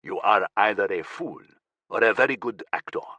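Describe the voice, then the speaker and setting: A man voicing a cold, menacing militant leader who speaks slowly in a low, dangerous tone. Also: bright, forceful tone, no echo.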